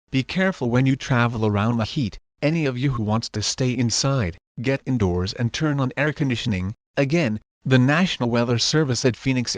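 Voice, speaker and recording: A man's voice: medium pitch, no room sound. A man reading a scripted weather warning as a radio announcer.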